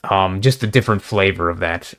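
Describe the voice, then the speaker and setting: Hoarse man rambling with many fillers. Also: low voice, a bit bright. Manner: halting, many fillers and restarts; casual and profane. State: slightly hoarse. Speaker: video response creator. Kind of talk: rambling casual monologue.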